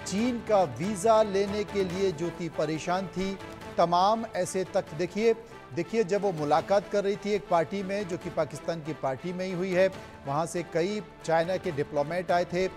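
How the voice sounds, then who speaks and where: A man's voice: high-pitched, unhurried, over music. A man anchoring live television news in an emphatic manner, repeating phrases for effect.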